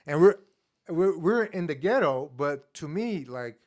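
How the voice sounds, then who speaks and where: A young man born in Estonia whose first language is Armenian, casually telling stories in an interview with a relaxed, filler-heavy manner. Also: fairly high pitch, a little slow. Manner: relaxed, many fillers, acts out his younger self with rising excitement. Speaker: guest, MMA fighter.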